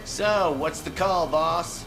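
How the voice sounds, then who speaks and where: A man's voice: a little high, slow, creaky, music in the background. A man playing a cynical mercenary henchman in a video game, with clipped, sardonic slang. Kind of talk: video game cutscene voice acting.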